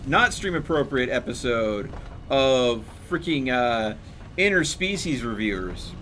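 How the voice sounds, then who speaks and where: A man's voice: mid-range voice, sing-song, a little slow, pushed and bright, slight background noise. A man chatting casually while streaming a game, thinking aloud and explaining numbers as he plays. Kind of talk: casual chat while gaming.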